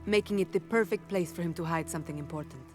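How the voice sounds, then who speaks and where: A woman playing a composed, resolute rebel leader in a video game, measured and authoritative. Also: on the low side, soft background music, lightly acted. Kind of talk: naturalistic video game acting.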